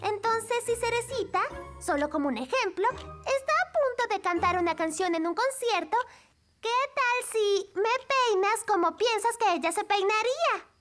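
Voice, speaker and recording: A woman's voice: very high voice, expressive, normal speed, fairly bright tone. A woman voicing an eager, anxious young girl in a children's cartoon, speaking in a hesitant, rambling way. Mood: nervous, evasive. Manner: hesitant, rambling when nervous. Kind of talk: animated cartoon dubbing. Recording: background music under the voice.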